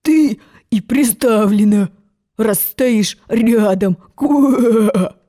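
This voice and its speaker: A woman voicing a fat old male frog doorkeeper in a storybook, hoarse and grumbling, croaking between his words. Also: on the high side, mellow. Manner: drawn-out grumbling, punctuated with croaks.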